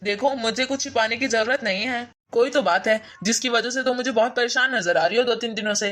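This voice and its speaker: A woman voicing a gentle young husband who speaks softly and reassuringly, in a lowered voice. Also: fairly quick, close to an everyday voice. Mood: concerned.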